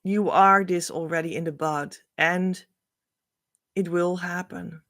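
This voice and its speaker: A middle-aged woman whose first language is Dutch, giving an oracle card reading as a spiritual coach, speaking to camera in a slow, musing way. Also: on the low side, fairly even intonation. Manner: slow, musing, drifts between soft and louder emphasis.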